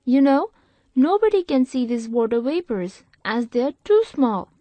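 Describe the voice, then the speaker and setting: A woman voicing a lively, knowledgeable young cartoon guide who explains things expressively to a child. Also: high-pitched, moderate pace, faint music behind. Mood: explaining. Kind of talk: scripted children's educational cartoon dialogue.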